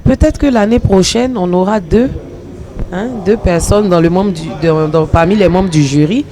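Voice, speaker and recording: A woman actress and comedian from the Republic of the Congo answering interview questions in an earnest, measured way. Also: fairly low pitch, crowd noise. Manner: earnest, measured, speaks about ambition with conviction.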